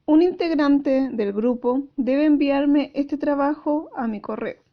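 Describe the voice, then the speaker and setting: A woman's voice: sits high, gravelly. A woman school teacher giving a recorded class lesson, explaining carefully and didactically. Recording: dull lo-fi audio.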